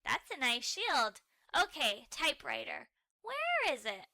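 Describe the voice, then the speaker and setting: Kindly questioning lady dog, played by a woman, storybook cartoon: calm, encouraging, melodic, bright. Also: high-pitched, measured pace, creaky, no echo, full character voice. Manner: gently questioning, approving.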